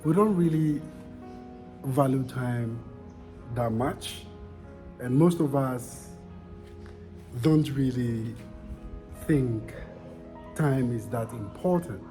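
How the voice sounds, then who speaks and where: A Ghanaian-accented vlogger talks at a slow, unhurried pace with music clearly playing underneath. The delivery is reflective and conversational, addressed straight to viewers, with key points repeated for emphasis. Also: medium pitch, warm tone. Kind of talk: personal vlog narration to viewers.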